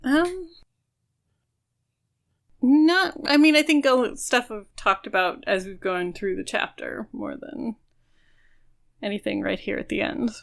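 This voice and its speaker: A woman's voice: fairly high pitch, measured pace, vocal fry, long pauses, fairly bright tone. A woman co-hosting a podcast, casually talking through a book and carefully qualifying her opinions. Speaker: podcast co-host.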